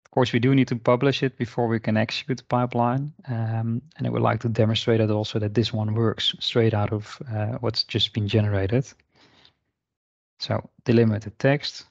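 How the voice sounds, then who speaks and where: A man narrating an unscripted screen-share software tutorial in an informal, explanatory way. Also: medium pitch, flat delivery, normal speed, gravelly, no room sound, highs cut off. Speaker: software demonstrator. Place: indoor voice-over for screen recording.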